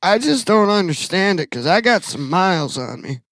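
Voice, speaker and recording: Man, thirties, podcast co-host, rambling self-deprecating chat, slightly higher voice, dry close sound. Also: big pitch swings, normal speed, a bit bright, no echo. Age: 30s. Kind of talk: casual chat.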